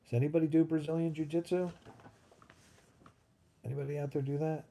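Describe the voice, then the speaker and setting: Very dark-voiced man in his fifties. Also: fairly high pitch, flat delivery, brisk, creaky, a little hiss or hum. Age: 50s. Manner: rambling, thinks aloud, answers chat comments. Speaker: livestream host. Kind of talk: casual live-stream chat with viewers.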